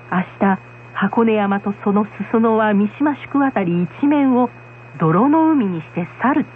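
A woman's voice: medium pitch, a little hiss or hum. Woman narrating a folktale, muffled lo-fi sound.

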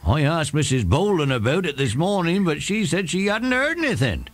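An actor playing an old, plain-spoken country shepherd in a children's audio drama, slow and full of wonder. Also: male voice, on the high side, big pitch swings. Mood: troubled, puzzled. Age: older.

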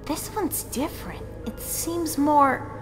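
Woman voicing a determined, expressive fox researcher. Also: high-pitched, takes it slowly, in a large hall, music in the background, full character voice. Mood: startled curiosity. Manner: firm, bossy. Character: stern, curious fox researcher, bossy and determined. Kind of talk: scripted comic dub acting.

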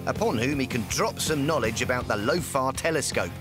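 A man's voice: mid-range voice, animated, brisk, over music. A man reading scripted narration for a light television documentary.

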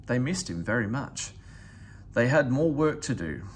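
A man reading a children's story aloud as scripted narration, in an expressive storytelling manner. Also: medium pitch, measured pace, a little hiss or hum. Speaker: storybook reader.

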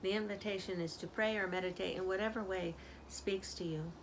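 An older woman, a community minister, giving a gentle spiritual reflection on an outdoor porch, filmed by a camera close up. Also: mid-range voice, steady tone, a little hiss or hum, low-quality recording. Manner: slow, soft-spoken, reflective. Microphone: camera at close range. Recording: outdoor ambience audible behind.

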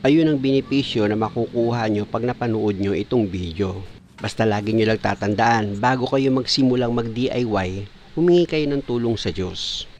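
A man's voice: mid-range voice, slight background noise. A man, a mechanic narrating a do-it-yourself brake repair and explaining each step to viewers. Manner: explanatory, calls viewers "mga boss". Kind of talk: DIY repair tutorial voice-over.

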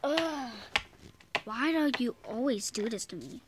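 A woman's voice: fairly high pitch, lively intonation, unhurried, over music. A young girl voicing toy pets in a homemade skit on the floor, switching between a scolding mother and a whining child. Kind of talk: toy figure skit with many voices. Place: indoors at home, on a wooden floor. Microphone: phone camera held close to toys.

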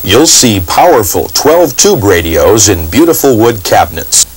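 A man's voice: lowish voice, very animated, a little slow, slightly rough, low-quality recording. An American man hosting and narrating a TV program in a scripted documentary style. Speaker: TV program host, radio collector.

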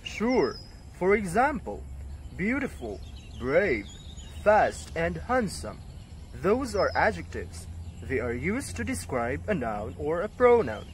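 A man acts out a helpful, confident zebra in a children's puppet show. He explains things in a deliberate, simple way and sounds friendly. The amateur recording has faint outdoor noise behind it. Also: fairly high pitch, big pitch swings. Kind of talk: scripted educational puppet show.

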